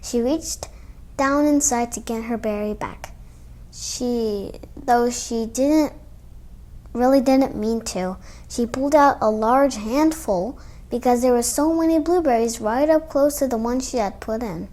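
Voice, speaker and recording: High-pitched female storytime reader. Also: unhurried, darkish tone. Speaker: children's storytime reader and host. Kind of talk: read-aloud storytelling with casual asides.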